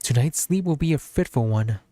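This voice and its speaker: A man's voice: mid-range voice, animated, a little fast, warm tone, dry recording. A man streaming as a VTuber, reading a visual novel aloud as narration.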